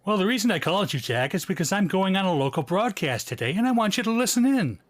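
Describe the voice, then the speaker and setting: A man acting as a jovial, portly announcer in an old radio comedy. He is hearty and pleased with himself, with a high voice that bounces around in pitch. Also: big pitch swings, fast. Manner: hearty, slogan-reciting, self-amused.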